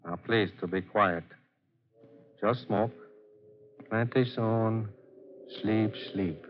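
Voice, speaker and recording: An actor playing a polite, coaxing Chinese opium den keeper, speaking broken English with a stylised Chinese accent in 1940s radio style. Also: male voice, lowish voice, very slow. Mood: soothing, insistent. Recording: dull, noisy 1940s radio recording.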